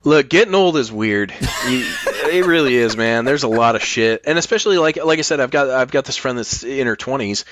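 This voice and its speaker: A man's voice: medium pitch, expressive, brisk, hardly any pauses, background noise. A man in his late forties chatting casually and candidly as a podcast guest, swearing freely. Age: late 40s. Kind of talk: casual chat.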